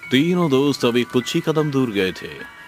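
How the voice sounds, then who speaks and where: A man's voice: medium pitch, sing-song, a little slow. A man narrating a scripted horror story as a storyteller. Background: music.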